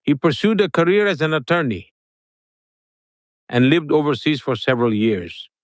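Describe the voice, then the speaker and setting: A man giving an interview guest's answers in English, with a steady, formal delivery like a prepared speech. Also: on the high side, moderate pace, no echo, studio quality.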